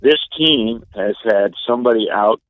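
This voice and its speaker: A man giving sports analysis as an interview guest, explaining things in a measured, halting way. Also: on the low side, dry recording. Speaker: radio play-by-play announcer, interview guest. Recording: narrow, tinny phone-like line.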